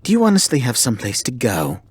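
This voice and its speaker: Guy doing a snooty male kitsune for anime asmr roleplay, husky breathy voice, super expressive, formal and exasperated but secretly caring. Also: mid-range voice, quick pace, no room sound. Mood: sarcastic, exasperated. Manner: formal, theatrical, exasperated scolding. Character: haughty, tsundere male kitsune forest spirit, grudgingly caring. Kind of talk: ASMR roleplay acting, addressing the listener.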